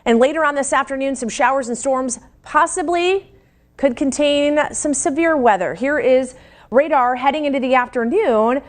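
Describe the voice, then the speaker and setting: High-pitched American woman presenting TV weather. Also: normal speed. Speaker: TV weather forecaster and news presenter. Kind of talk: live broadcast weather forecast and news presenting.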